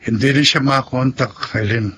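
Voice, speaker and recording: A man's voice: medium pitch, steady tone, fairly quick, a bit bright, breathy edge. A man playing a worried, serious older brother in a Filipino radio drama. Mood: troubled. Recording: music under the voice.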